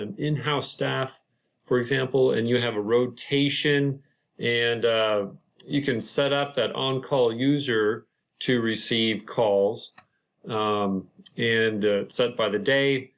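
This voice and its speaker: A man narrating an informal screen-share software tutorial in a halting, unscripted, explanatory way. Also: mid-range voice. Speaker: property manager presenting a software tutorial.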